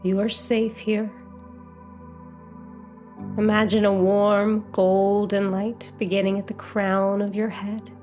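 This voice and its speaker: A woman guiding a meditation as a hypnotherapist, with a soothing, gentle, unhurried delivery. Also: mid-range voice, little pitch movement, muffled recording, soft background music. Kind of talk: guided meditation.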